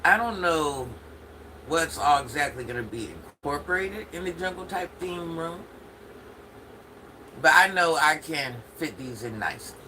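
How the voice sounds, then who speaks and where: An older woman hosts a casual phone livestream in a deep, forceful voice. She sounds stuffed up from allergies and chats straight to her viewers, with faint noise behind her. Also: expressive, a little slow, low-quality recording. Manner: chatty, talks straight to viewers. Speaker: livestream host.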